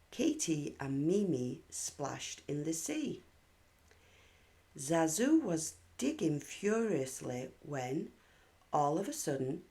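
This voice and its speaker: Female children's read-aloud narrator: expressive, unhurried, low-toned, telling a story to little kids. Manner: measured, animated storytelling for young children.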